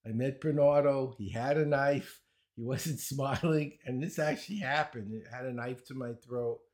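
A man's voice: mid-range voice, a little mellow. Older Brooklyn-accented man, joking guest, rattles off credentials. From Brooklyn, New York. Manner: wisecracking, chuckles at his own jokes, rapid run of credentials. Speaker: guest, speed-reading teacher. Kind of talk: casual interview answers.

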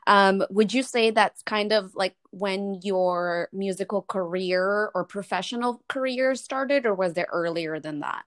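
A woman's voice: medium pitch, a little slow, few pauses. A woman interviewing a musician in a casual chat, speaking as an eager fan who hesitates with ums.

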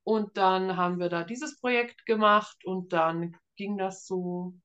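Female author, thirties, mid pitch. Informal, chatty, self-deprecating interview guest. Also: steady tone, unhurried, a bit bright. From Germany. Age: 30s. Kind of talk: casual interview chat.

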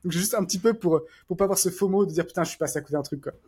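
A man talks quickly on a casual podcast, his voice a little husky and fairly high. He rambles, restarts often and fills gaps with fillers. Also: a little mellow. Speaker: podcast co-host. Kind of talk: casual chat.

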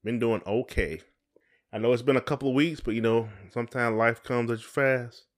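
A man's voice: medium pitch, a little fast. A man co-hosting a podcast in casual conversation, talking in a folksy way and often repeating his phrases. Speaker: podcast co-host.

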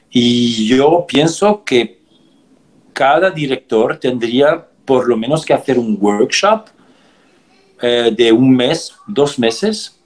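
Slow, thoughtful man being interviewed. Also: medium pitch, darkish tone. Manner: thoughtful, halting, many pauses and restarts. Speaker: actor, interview guest. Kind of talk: reflective interview answers.

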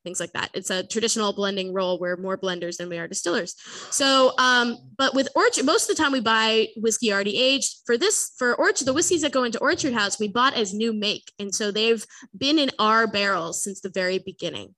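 A woman from Massachusetts hosting a casual whisky tasting, chatty and excitable with lots of fillers and restarts. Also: mid-range voice, brisk, poor audio. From Massachusetts, USA. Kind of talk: casual live tasting chat.

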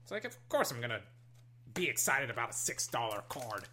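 A young adult talks fast and loose over a pile of card packs, jumping from bursts of hype down to low mutters. The voice is bright and edged, with a lively up-and-down pitch. A slight hoarseness runs under it. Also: slight background noise. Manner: excitable, swings between loud hype and low muttering; swears casually, trails off and restarts. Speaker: card-opening vlogger. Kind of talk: casual commentary while opening card packs. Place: at a desk indoors.

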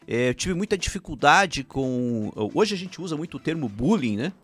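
A man's voice: on the high side, big pitch swings, moderate pace, fairly bright tone, husky. Chatty man with Ukrainian roots. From Paraná, Brazil. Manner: rambling, frequent restarts and "né?" tags. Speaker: filmmaker guest. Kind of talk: casual interview chat.

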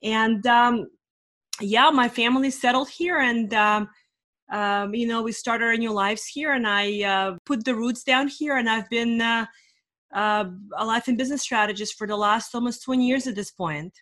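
A woman's voice: a little high, normal speed, a bit bright. A woman in her forties, originally from Russia, answering interview questions about her career in an earnest, reflective way with many fillers. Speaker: guest, life and business strategist.

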